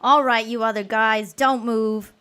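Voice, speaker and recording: A man's voice: high-pitched, fairly even intonation, a little slow, pushed and bright, clear, resonant tone. An actor playing a taunting, drunken gunman in a bar, in an old-time radio drama style. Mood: menacing, gloating.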